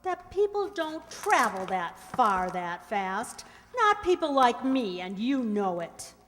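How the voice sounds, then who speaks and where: In a reverberant hall, a woman acts out a scheming, gritty waitress in a radio mystery. Her delivery is slangy and emphatic, swinging widely in pitch. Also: big pitch swings, slow, a bit bright, slight background noise, full character voice. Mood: bitter, determined. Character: brassy scheming waitress, discarded mistress with grit. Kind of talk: radio mystery drama acting. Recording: reverberant hall stage recording.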